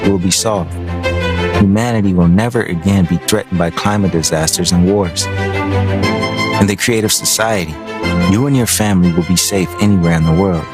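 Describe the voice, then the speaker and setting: A man's voice: low-pitched, normal speed. A man reading scripted promotional narration as a voice-over. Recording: loud music bed under voice. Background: loud background music.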